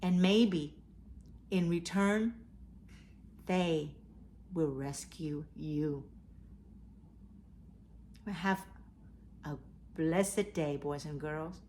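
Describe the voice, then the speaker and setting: A woman hosting a read-aloud for children, telling stories and giving advice in a deliberate way. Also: sits low, a little mellow, slight background noise. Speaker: host of a children's read-aloud storytelling show. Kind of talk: storytelling and advice addressed to children.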